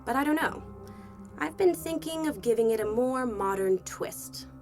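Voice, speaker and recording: An actress playing a suave, controlling corporate boss with a breezy, superior manner and quiet threat in an audio drama. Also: female voice, a little high, lively intonation, normal speed, soft background music. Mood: breezy control.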